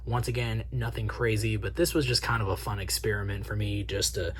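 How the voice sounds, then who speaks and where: A man's voice: on the low side, fairly even intonation, a little fast. An American man hosting a video in a casual, slangy vlog style, chatting informally to his viewers. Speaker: host of a video channel about reselling collectibles.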